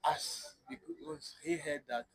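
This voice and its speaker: A man interpreting between two speakers, halting and restarting his phrases. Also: a little high, sing-song, a bit bright, breathy edge. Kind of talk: interpreting between French and English.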